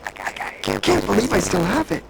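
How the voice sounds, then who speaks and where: A nervous artistic man in a video game trips over his words in a hesitant, apologetic way. His voice is fairly high and swings animatedly, with a gravelly creak, and music plays behind him. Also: huge pitch swings, quick pace, noisy background, heavily acted. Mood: excited, stammering. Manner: stammering, hesitant, jittery. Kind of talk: video game character acting.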